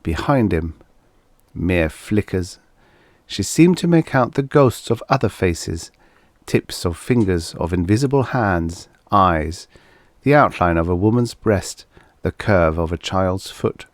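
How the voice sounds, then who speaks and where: A man narrating a vintage science fiction story as an audiobook reader, in a dramatic, expressive storytelling manner. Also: deep voice, slow pace, no echo.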